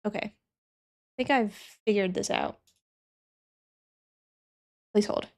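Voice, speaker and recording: A woman's voice: mid-range voice, slow, darkish tone. A young woman streamer who is sick and sniffling, chatting casually with her chat and thinking aloud as she works.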